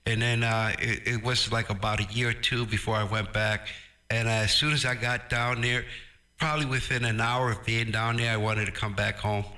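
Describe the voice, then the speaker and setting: A man's voice: on the low side, fairly even intonation, normal speed, pushed and bright. A middle-aged man chatting casually over ham radio. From Brooklyn, New York. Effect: ham radio transmission. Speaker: amateur radio operator.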